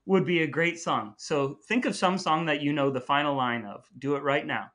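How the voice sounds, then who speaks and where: A man's voice: on the high side, moderate pace, fairly bright tone. A man co-hosting a podcast, chatting casually in a playful, jokey way. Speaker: podcast co-host.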